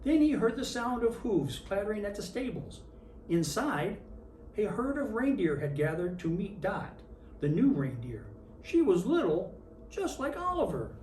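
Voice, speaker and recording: A reader in character as Santa Claus, reading a children's picture book aloud with expressive storytelling. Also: medium pitch, unhurried. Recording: roomy, distant mic with faint noise.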